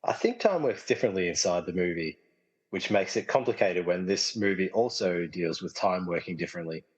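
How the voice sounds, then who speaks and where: A man playing an easygoing, casually explaining film lover trapped in a movie, in a comedy radio play, with a husky voice and long reverb. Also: deep voice, expressive, brisk. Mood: casual, explanatory. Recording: long reverberant hall echo.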